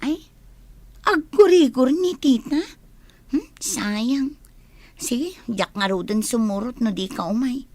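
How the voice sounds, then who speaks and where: An eager, sociable young woman in a village radio drama. Her voice is fairly high and recorded dry and close. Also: animated, takes it slowly, long pauses, a little mellow, dry recording, exaggerated voice. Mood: eager, coaxing.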